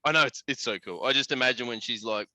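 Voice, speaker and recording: A man's voice: mid-range voice, fast talker, sharp tone, low-quality recording. An Australian man chatting casually as a podcast host, blokey and sweary, full of slang.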